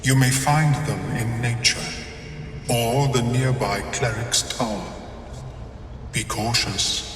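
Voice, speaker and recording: A slow, echoing, scholarly mind flayer. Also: male voice, medium pitch, fairly even intonation, a bit bright. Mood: calm, courteous. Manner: measured, formal, precise diction. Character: calm, scholarly, courteous mind flayer alchemist, an otherworldly male creature. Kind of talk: video game character acting. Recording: echoing reverberant hall, distant, with music.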